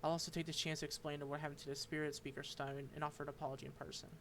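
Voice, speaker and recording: A man streaming a game, reading its dialogue aloud and commenting, halting and stumbling over unfamiliar names. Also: on the high side, little pitch movement, fairly bright tone, slight background noise.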